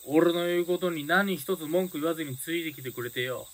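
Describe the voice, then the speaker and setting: An actor playing an earnest high-school gang boss in a Japanese radio drama, speaking sincerely in rough delinquent slang. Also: male voice, a little fast, lightly acted. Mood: earnest, resolved.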